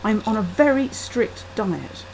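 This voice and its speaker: A woman's voice: mid-range voice, animated, a little slow, creaky, darkish tone. A woman voicing an irritable, disdainful young female graduate in a short story. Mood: defensive. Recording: faintly noisy laptop webcam microphone.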